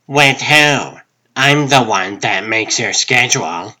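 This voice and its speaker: Man acting a sharp-toned, harried assistant. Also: mid-range voice, lively intonation, slow pace, voice pushed up, heavily acted. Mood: skeptical. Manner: questioning, pointed. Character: harried, skeptical assistant to a TV producer. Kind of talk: comic book dub voice acting.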